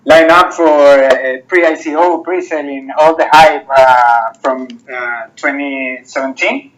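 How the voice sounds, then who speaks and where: A man, the project owner, talking about his project in a casual interview and filling pauses with lots of "uh"s. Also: mid-range voice, fairly even intonation, a bit bright, poor audio. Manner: hesitant, many fillers and restarts.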